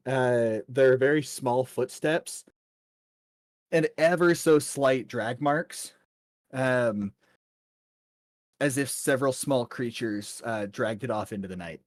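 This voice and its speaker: A man narrating a tabletop game as game master in a casual, measured, hesitant way. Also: mid-range voice, a little mellow, no echo.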